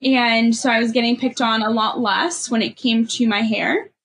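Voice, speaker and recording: A woman's voice: fairly high pitch, moderate pace, fairly bright tone. A young adult woman from Ethiopia with a California Valley girl accent, reflecting candidly as a guest and filling her talk with "like" and "you know". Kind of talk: candid personal conversation.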